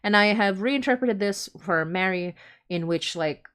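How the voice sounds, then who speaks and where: A woman's voice: mid-range voice, measured pace, a bit bright, smooth. A woman from the Philippines hosting a livestream, chatting informally and thinking aloud as she explains things. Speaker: podcast creator hosting a fundraising livestream. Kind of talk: casual rambling livestream explainer.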